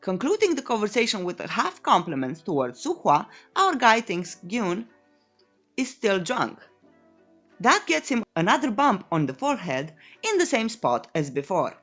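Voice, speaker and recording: Female recap narrator, cheeky storyteller, mid-range pitch with lively swings, faint music underneath. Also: moderate pace. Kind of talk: scripted comic recap narration.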